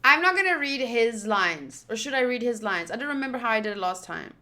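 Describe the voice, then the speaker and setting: High-voiced woman chatting through a game. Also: sing-song, a little fast, bright, forceful tone. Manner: chatty, musing aloud. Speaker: gaming channel host. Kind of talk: casual game playthrough commentary.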